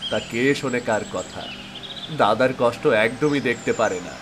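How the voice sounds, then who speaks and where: A man playing a struggling, protective older brother and old friend in expressive, resigned cartoon dialogue. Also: medium pitch, measured pace, natural voice. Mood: resigned, downcast. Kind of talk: acted cartoon drama dialogue. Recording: noisy outdoor ambience with birds, insects.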